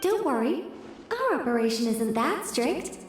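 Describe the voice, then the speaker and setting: A woman voicing a calm, knowing mage in a video game, politely instructive, heard through a magical echo effect. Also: high voice, measured pace. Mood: reassuring. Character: calm, knowing female mage directing from afar. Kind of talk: video game character acting. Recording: heavy echo effect, music behind.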